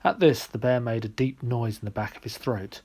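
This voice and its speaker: A man narrating a storybook as a reader, in an expressive scripted style. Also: medium pitch, normal speed, slightly rough.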